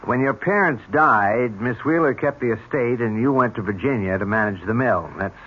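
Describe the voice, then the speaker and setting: An actor playing a polite, probing police lieutenant with a deliberate, courteous way of questioning, in old-time radio drama. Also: male voice, medium pitch, slightly rough, lightly acted. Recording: dull, noisy old radio recording.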